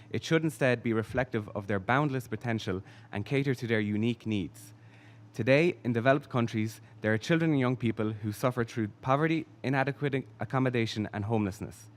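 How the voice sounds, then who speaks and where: A man reading a measured, formal prepared speech as a youth delegate in a large conference hall. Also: lowish voice. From Ireland.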